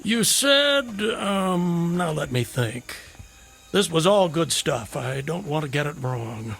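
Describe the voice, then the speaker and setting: An actor playing a garrulous, whimsical, hard-drinking drifter in a radio drama, with wry, theatrical, wordy phrasing. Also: male voice, fairly high pitch, big pitch swings, slow, creaky, fairly bright tone, slightly rough, heavily acted. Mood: florid, musing.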